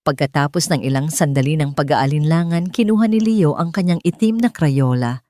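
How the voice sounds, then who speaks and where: A Filipino woman narrates a story in a low-pitched voice with a soft, dark tone. The delivery is scripted audiobook storytelling, recorded very dry and close. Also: moderate pace, dry recording.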